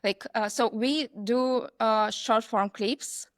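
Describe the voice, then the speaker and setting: A woman from Belarus with a Belarusian accent, a podcast guest speaking English hesitantly with many pauses for words. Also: fairly high pitch, fairly even intonation, dry recording, studio quality. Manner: hesitant, searching for words, frequent uhs. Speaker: guest, agency founder. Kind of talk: casual interview chat.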